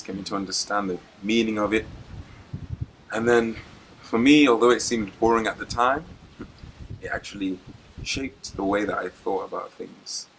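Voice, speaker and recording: A young man from Zimbabwe with a fairly low voice recounts his path into church life. He talks in a relaxed, reflective way and laughs easily. Faint noise runs behind him. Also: low-quality recording. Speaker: guest preacher. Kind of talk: telling his life story in an interview.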